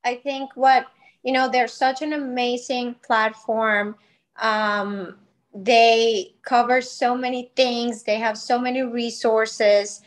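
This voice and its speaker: A woman's voice: a little high, little pitch movement, slow pace. A woman in her thirties from Colombia, a podcast guest telling her story in a chatty, grateful way with many fillers. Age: 30s. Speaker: guest, wellness brand founder. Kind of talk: podcast interview, personal story.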